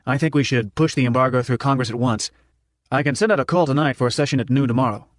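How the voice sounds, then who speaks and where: A man's voice: medium pitch, quick pace, no echo, studio quality, lightly acted. A man voicing a businesslike revolutionary leader in an audiobook, courteous and formal in manner. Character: businesslike male revolutionary leader on video link. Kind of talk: audiobook character dialogue.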